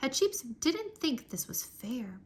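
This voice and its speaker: A woman reading a history book aloud for children in an expressive, deliberate storytelling manner. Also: high voice, husky. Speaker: read-aloud narrator.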